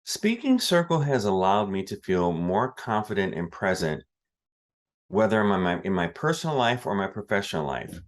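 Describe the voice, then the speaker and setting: A man's voice: fairly low pitch, very animated, normal speed. A man giving a personal testimonial about himself.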